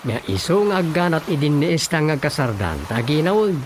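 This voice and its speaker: A man's voice: on the high side, big pitch swings, normal speed, a little hiss or hum. A man acting a flustered, gossipy village councilman in an Ilocano radio comedy-drama, exclaiming and hesitating conversationally. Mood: gossipy, exasperated. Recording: music bed under the dialogue. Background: background music.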